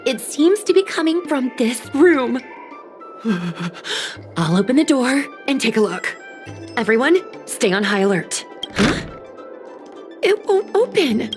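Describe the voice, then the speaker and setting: A woman voicing a brisk, capable young woman adventurer who takes charge in an anime-style game. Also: sits high, sing-song, exaggerated voice. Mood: focused. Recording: game music behind the voice.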